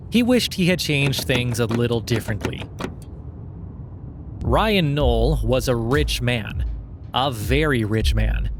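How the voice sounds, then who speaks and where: A man reads a scripted satirical story as a podcast narrator, measured and deliberate, with wry irony. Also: mid-range voice. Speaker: narrator of an audio fiction podcast.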